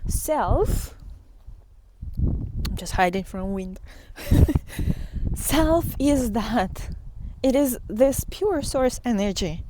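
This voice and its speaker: A woman giving an earnest, unscripted spiritual talk as a teacher, speaking haltingly with pauses and repetitions. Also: on the high side, lively intonation. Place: outdoors in the wind. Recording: windy outdoor recording with clear noise.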